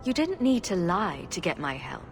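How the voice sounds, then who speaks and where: A woman playing a calm, direct adventurer heroine in a video game, matter-of-fact and willing to help. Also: fairly low pitch, normal speed, gravelly, faint music behind. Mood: steady. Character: composed, direct, helpful young heroine adventurer. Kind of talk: video game character acting. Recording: faint ambient noise.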